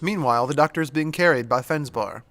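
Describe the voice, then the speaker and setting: A man's voice: fairly high pitch, quick pace, fairly bright tone, faint music behind. A man reading scripted dramatic narration as the narrator of an audio drama.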